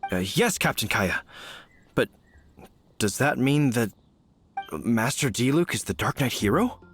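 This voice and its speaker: An actor playing a dutiful middle-aged knight officer who talks formally and earnestly, in video game voice acting. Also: male voice, medium pitch, expressive, measured pace, a bit bright. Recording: game audio with music behind.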